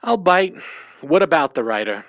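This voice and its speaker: An actor playing a wary, slangy Hollywood agent in a hard-boiled 1940s-style noir audio drama. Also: male voice, fairly high pitch, sing-song, moderate pace, no room sound, lo-fi sound. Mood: wry. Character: wary fast-talking old Hollywood talent agent, man.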